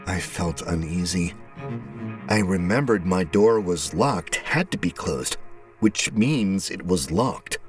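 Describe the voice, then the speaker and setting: A man reading scripted first-person horror narration as a storyteller, measured and with dramatic pauses. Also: sits low, animated, over music.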